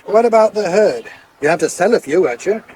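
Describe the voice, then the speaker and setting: An actor playing a dry, sceptical farming neighbour with a British accent in a 1980s radio soap. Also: male voice, high voice, expressive, fairly quick, darkish tone. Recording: faintly noisy old radio broadcast.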